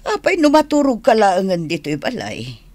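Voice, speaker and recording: Fussing, animated Ilocano auntie. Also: female voice, medium pitch, moderate pace, dry recording. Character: neighbourly middle-aged auntie, fussing and hospitable. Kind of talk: Ilocano radio drama acting.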